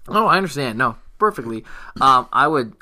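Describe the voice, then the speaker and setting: This is a man with a bright, forward voice at an ordinary male pitch. He co-hosts a podcast in loose, casual conversation. His manner is jokey and happily self-deprecating. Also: expressive, a little fast. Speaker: podcast co-host.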